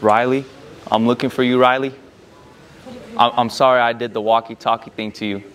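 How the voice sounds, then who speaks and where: A man's voice: medium pitch, fairly even intonation, fairly quick, fairly bright tone, a little hiss or hum. A young man doing casual prank banter with strangers, playful, pleading and persistent, picked up by a camera in a large store. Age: young adult. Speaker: prank vlogger. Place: large busy retail store.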